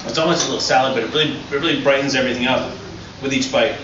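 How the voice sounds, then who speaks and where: A man's voice: medium pitch, racing. Middle-aged man, chef at a patio demo, casual and eager. Distant, echoey, muffled sound with a hiss of outdoor noise. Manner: relaxed, enthusiastic explaining with restarts. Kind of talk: cooking demonstration. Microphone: far camera. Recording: echoey, distant, dull, noisy outdoor audio.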